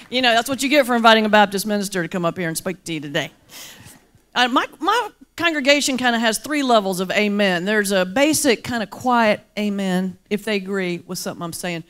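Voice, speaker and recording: A woman from the mountains of North Carolina with a Southern accent, a pastor and comedian giving a lively, humorous keynote speech to a large luncheon crowd. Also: mid-range voice, normal speed. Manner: lively, playful, folksy with audience call-and-response. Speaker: pastor and comedian, guest speaker. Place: large banquet hall before a crowd.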